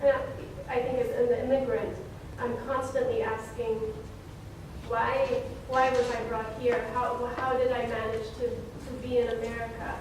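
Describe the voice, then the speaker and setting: A woman born in Ethiopia, a novelist on a panel, answering audience questions in a reflective, hesitant way with many pauses. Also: on the high side, little pitch movement. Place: conference room. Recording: echoey room, far from mic, noisy.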